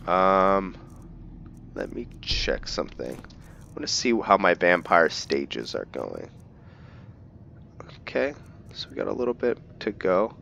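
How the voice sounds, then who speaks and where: Low, slow-talking male gamer. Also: creaky, fairly bright tone, slight background noise. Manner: halting, stumbles over names. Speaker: gamer narrating a roleplay playthrough. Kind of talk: casual gameplay commentary mixed with in-character journal reading.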